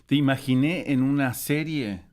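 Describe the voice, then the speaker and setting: A man's voice: medium pitch, normal speed, a little mellow. A middle-aged man from Chile who grew up in Argentina, hosting a conversational interview. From born in Chile, grew up in Argentina. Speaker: host, interviewer.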